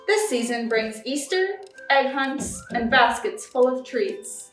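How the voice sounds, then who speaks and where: Higher-voiced woman reading children's stories, echoey. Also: expressive, takes it slowly, a bit bright. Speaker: library volunteer story time reader. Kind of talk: children's story read-aloud. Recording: roomy echo, distant mic, music behind.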